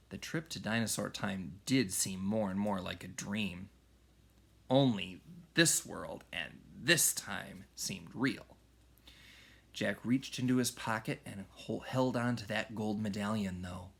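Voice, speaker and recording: A man reading a children's story aloud in an expressive, dramatic way, moving between hushed and raised delivery. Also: on the low side, husky. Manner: animated, dramatic, with hushed whispers and shouts. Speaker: read-aloud storyteller, music teacher.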